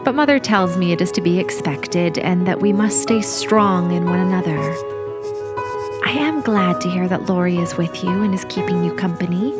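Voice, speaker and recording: A woman's voice: fairly low pitch, natural voice. A woman playing an affectionate, earnest young wife and mother, reading a letter in a period audio drama. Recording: loud music behind the voice.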